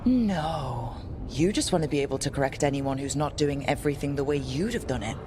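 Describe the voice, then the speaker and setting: A performer playing a steady, perceptive team leader in a fantasy video game, speaking directly and conversationally. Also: mid-range voice, a little fast, background noise, lightly acted. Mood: frank. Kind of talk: naturalistic video game acting.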